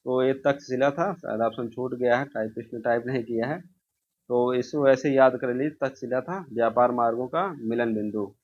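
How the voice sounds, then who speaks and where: A man's voice: mid-range voice, normal speed, a little mellow. A man teaching an informal exam review, asking questions and answering them himself, repeating key answers. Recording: dull, lo-fi sound.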